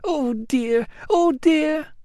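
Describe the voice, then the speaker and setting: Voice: high-pitched, extremely slow, dark tone, no room sound, studio quality, pitched above the performer's own voice. A whiny, tearful young noblewoman. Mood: self-pitying. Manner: tearful, pleading, breathless complaints. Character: whiny self-pitying young Roman woman. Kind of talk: audiobook acting.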